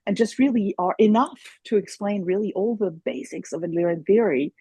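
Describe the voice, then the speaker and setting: A woman's voice: mid-range voice, moderate pace, dark tone, slightly rough, no room sound. A woman in her sixties, a native German speaker raised in France, telling stories animatedly as a podcast guest, with many restarts. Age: 60s. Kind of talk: casual conversation.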